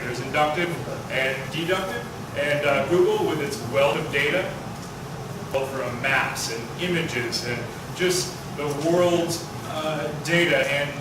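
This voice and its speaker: A man giving a technical talk at a conference, loud and asking the audience questions. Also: on the high side, background noise. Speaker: conference speaker. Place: large conference hall. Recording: echoey hall, mic from far off.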